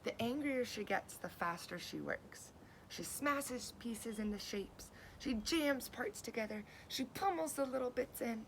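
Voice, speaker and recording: A woman teacher reading a children's picture book aloud with expressive, deliberate phrasing, as if to young listeners. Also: on the high side, slight background noise, poor audio. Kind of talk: children's storybook read-aloud.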